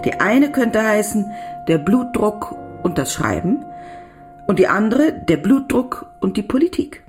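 A woman's voice: medium pitch, big pitch swings, measured pace, music in the background. An actress playing a devoted woman doctor who tells of her love in a reflective, intimate radio drama monologue. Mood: reflective, tender.

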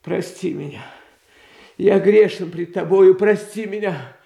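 An actor playing a meek, anxious young tsar who talks in a hesitant, confused, pleading way in a theatrical radio play. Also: male voice, high voice, takes it slowly, vocal fry, dark tone, heavily acted. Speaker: title character in a radio play.

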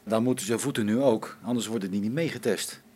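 A man's voice: fairly low pitch, fairly quick, darkish tone, breathy edge. A man playing a grumbling, sarcastic space-station technician in a radio play, complaining with exasperation. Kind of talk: Dutch radio play acting.

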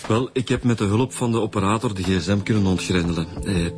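A man's voice: on the low side, brisk, lightly acted. A man with a Flemish accent playing an informal junior police detective in a radio drama, casual and a little hesitant. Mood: hesitant, intrigued. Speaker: actor in a radio crime serial. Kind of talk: naturalistic radio drama acting.

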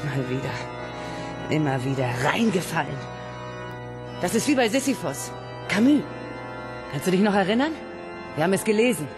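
Eine Schauspielerin spielt in einem Hörspiel eine rätselhafte junge Frau mit verträumter, ironischer Art. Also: female voice, fairly low pitch, lively intonation, normal speed, pauses often, music in the background. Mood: wistful. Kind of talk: radio play acting.

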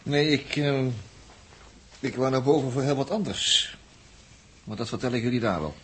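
A man's voice: medium pitch, normal speed, a little hiss or hum, close to an everyday voice. An actor playing a restless, skeptical spaceship crewman in a 1960s Dutch radio drama, talking in a colloquial, needling way. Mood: uneasy.

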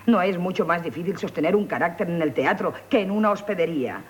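A bold, swooping scheming fake countess. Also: female voice, on the low side, lively intonation, fast talker, husky. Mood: persuasive, urging. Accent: Castilian Spanish. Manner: theatrical, persuasive, swooping delivery. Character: bold scheming actress posing as a haughty countess. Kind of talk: 1970s radio theatre comedy acting. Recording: 1970s radio recording with noise.

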